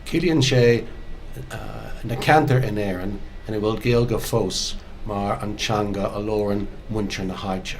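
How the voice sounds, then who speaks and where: Older Belfast man, low voice. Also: vocal fry, a little hiss or hum. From Northern Ireland. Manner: measured, unhurried, with hesitations. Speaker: museum storyteller. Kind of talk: bilingual personal account, each phrase in Irish then English.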